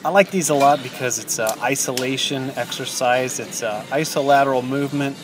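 A man's voice: medium pitch, a little fast, music in the background. A man in his thirties talking casually about his gym workout, with plenty of ums and uhs. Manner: relaxed, many ums and uhs. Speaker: fitness vlogger.

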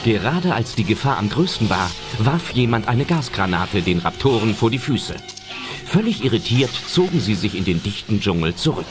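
Lively low-voiced male storyteller. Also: moderate pace. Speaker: narrator. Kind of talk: scripted audio drama storytelling. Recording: loud music under narration.

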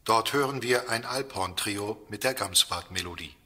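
An actor playing a formal, polite radio announcer within a children's radio play. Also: male voice, fairly low pitch, moderate pace, heavy background noise, close to an everyday voice.

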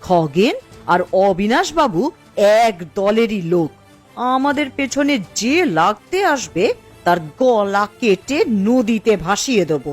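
Woman as ruthless discontented village wife, slow delivery, animated pitch, dramatic cartoon acting. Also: faint music behind. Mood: cold menace. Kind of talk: Bengali fairy-tale cartoon voice acting.

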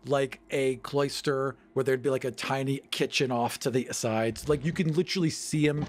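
A man's voice: mid-range voice, fairly even intonation, normal speed. A man narrating a tabletop game as game master.

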